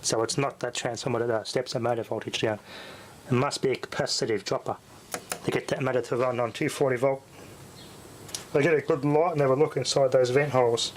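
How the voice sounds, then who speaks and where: A man's voice: mid-range voice, fairly even intonation, brisk, slight background noise. A man giving casual, off-the-cuff repair commentary as a hobbyist tinkerer, muttering to himself in short fragments as he thinks aloud.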